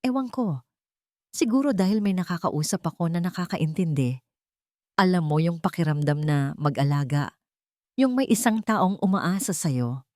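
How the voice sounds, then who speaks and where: A woman voicing a warm, hopeful young female caregiver in a romance audiobook, tender and earnest. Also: on the low side, lively intonation, moderate pace, no echo, studio quality, natural voice.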